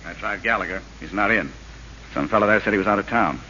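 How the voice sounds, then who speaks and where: A sharp, sardonic radio-drama schemer. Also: male voice, lowish voice, fast talker. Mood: sly, dry. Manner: sardonic, needling, threatening. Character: scheming, resentful male assistant prosecutor, a corrupt grafter. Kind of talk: 1930s radio acting. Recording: muffled old radio recording with hiss.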